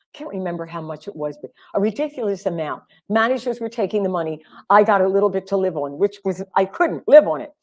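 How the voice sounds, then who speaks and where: A middle-aged woman vocal coach talking on a live stream, animated and emphatic, calling her listeners honey. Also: medium pitch, fast talker.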